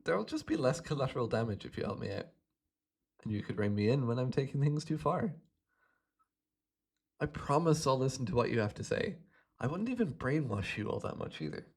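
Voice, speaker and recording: Man playing a swooping, creaky-voiced gleeful supervillain. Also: mid-range voice, very animated, a little fast, pauses often, darkish tone, full character voice. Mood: playful, persuasive. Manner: gloating, teasing, theatrical, swooping between murmurs and exclamations. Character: gleeful hypnotist supervillain, smug, playful escaped prisoner. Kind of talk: ASMR roleplay, addressing the listener.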